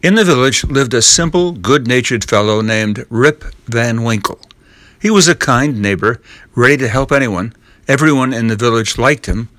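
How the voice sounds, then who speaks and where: A man's voice: mid-range voice, moderate pace, fairly bright tone, dry recording. Man reading a storybook in a dry room. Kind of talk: scripted storybook narration.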